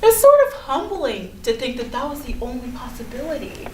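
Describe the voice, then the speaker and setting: Anxious, apologetic schoolgirl played by a woman, very high animated voice, staged reading in a roomy space. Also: fairly quick. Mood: anxious, then relieved. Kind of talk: staged play reading, teen dialogue. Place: indoor room at a staged reading. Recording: roomy, distant mic, faint noise.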